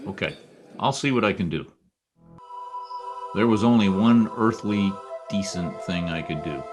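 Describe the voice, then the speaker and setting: An actor playing a world-weary but decent private detective in old-time radio noir style, wry and laconic. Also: male voice, low-pitched, a little slow, music in the background. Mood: guarded sympathy.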